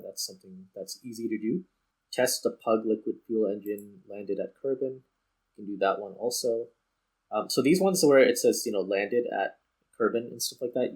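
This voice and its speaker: A man's voice: lowish voice, a little mellow, low-quality recording. A man giving casual let's play commentary as a gaming host, rambling and thinking aloud as he goes.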